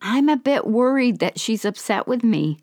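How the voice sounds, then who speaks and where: A woman's voice: a little high, animated, normal speed, darkish tone, dry recording, clean studio sound, voice pushed up. A woman reading an elderly grieving woman. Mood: sorrowful. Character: elderly grieving woman, guilt-ridden and devout.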